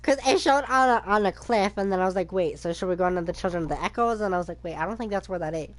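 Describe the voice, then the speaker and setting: A woman's voice: medium pitch, very fast. A woman streamer giving casual, chatty gameplay commentary, acting out her own thoughts as she goes. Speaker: gaming streamer. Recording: game music behind the voice.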